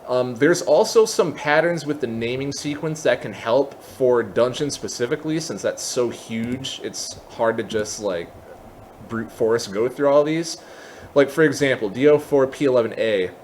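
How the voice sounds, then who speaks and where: A man with a mid-pitched voice walks through a software tutorial in an informal, explanatory way. He drops in conversational asides as he goes. There is noticeable ambient noise behind him. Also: moderate pace. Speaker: tutorial host.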